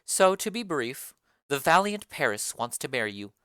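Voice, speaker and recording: A woman voicing a stern, formal noble mother in a dramatic reading of a play, speaking in a lowered, measured voice. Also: sing-song, fairly bright tone, no room sound, clean studio sound.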